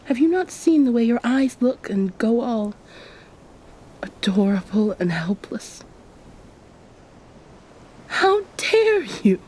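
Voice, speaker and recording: A woman playing an affectionate, teasing yet commanding succubus pirate captain in an intimate ASMR roleplay, softly spoken and often near a whisper, with formal old-fashioned phrasing. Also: fairly high pitch, husky, exaggerated voice. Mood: playfully indignant.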